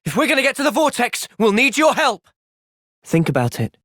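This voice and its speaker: A man playing an earnest, thoughtful young soldier and party leader in a video game, sincere and resolute in a measured way. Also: very high-pitched, sing-song, a bit bright, dry recording, clean studio sound, exaggerated voice. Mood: urgent, shouting. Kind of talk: video game cutscene acting.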